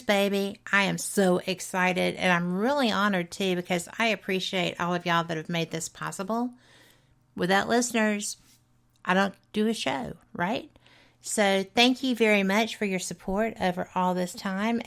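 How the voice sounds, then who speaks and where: A woman's voice: medium pitch, creaky, fairly bright tone. A middle-aged woman with a Southern accent, hosting a casual radio talk show and chatting with enthusiasm. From Alabama. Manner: chatty, enthusiastic, laughs easily.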